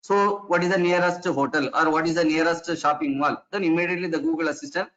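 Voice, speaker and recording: A man's voice: fairly high pitch, quick pace, a bit bright. A man giving an online lecture to teachers. He explains things step by step, repeats and restarts his phrases, and asks rhetorical questions. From India. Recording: dull lo-fi online session audio.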